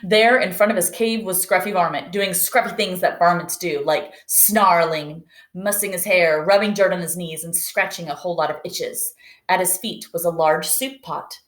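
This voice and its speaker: A woman's voice: lowish voice, fairly even intonation, normal speed, fairly bright tone, reverberant. A woman teacher reading a picture book aloud expressively and putting questions to young listeners.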